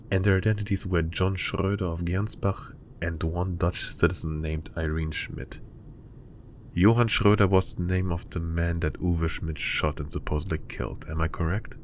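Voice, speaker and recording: A businesslike intelligence handler with a very deep voice, played by a man. He briefs his agents in a crisp, official tone, and a faint hiss sits under the recording. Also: a little mellow. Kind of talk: cinematic audio roleplay acting.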